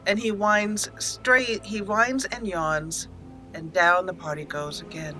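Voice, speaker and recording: Bright-voiced woman narrating a roleplay playthrough. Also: medium pitch, slow pace, faint music behind, low-quality recording. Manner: expressive, talks to the game characters. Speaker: gaming channel host. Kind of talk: roleplay game commentary with storytelling narration.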